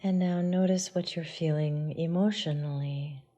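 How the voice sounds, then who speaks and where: A woman teaching yoga meditation, guiding listeners in a soft, hushed delivery with pauses. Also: sits low, fairly even intonation, muffled recording. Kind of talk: guided meditation.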